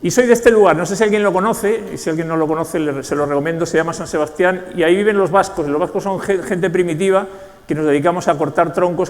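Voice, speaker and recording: Spanish man, keynote speaker, high voice, wry humor, long hall reverb. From Spain. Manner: wry, self-deprecating humor. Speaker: conference speaker. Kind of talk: keynote talk to an audience. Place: conference hall.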